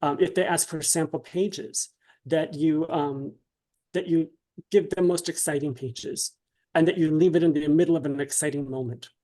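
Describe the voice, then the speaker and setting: A man answering interview questions casually, in a hesitant, halting and polite way. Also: on the high side, steady tone, dark tone. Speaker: interview guest, dramatist and author.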